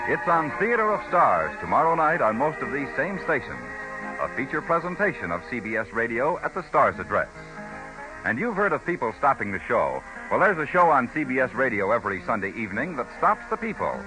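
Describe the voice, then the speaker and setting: A man's voice: mid-range voice, poor audio. An American man reading scripted credits and promotions in the style of a 1950s radio announcer. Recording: vintage radio broadcast recording. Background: music.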